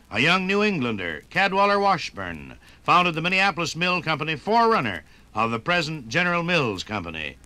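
Forceful, high-pitched American radio narrator, a man. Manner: theatrical, declamatory. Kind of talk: dramatic 1950s radio documentary narration. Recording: 1950s radio record, faint music behind.

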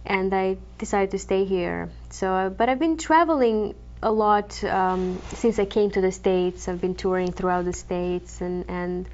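A woman's voice: mid-range voice, unhurried, few pauses, darkish tone. A young woman from Croatia, a classical guitarist, answering interview questions thoughtfully with frequent ums and restarts. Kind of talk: interview conversation. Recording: dull lo-fi TV recording.

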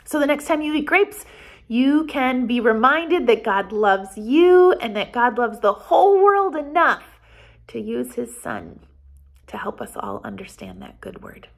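A woman in her forties with an American accent speaks as a pastor to young children. Her voice sits somewhat high, and she moves very slowly through simple, deliberate phrases, as in a storytime lesson. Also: very animated. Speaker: pastor leading children's Bible story time. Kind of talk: children's storytime, reading and explaining. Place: small office, indoors.

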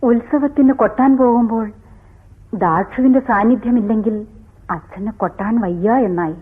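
A woman's voice: mid-range voice, husky, close to an everyday voice. An actress in a radio drama playing a sorrowful, brooding woman who speaks in poetic, theatrical monologue. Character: sorrowful woman, Dakshayani, brooding, bitterly wry, recalling her father. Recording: tinny phone-like old radio broadcast.

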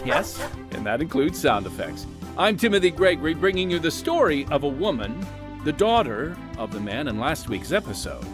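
A man hosting and narrating an audio drama in a scripted, theatrical style with folksy asides to listeners. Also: mid-range voice. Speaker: host and narrator.

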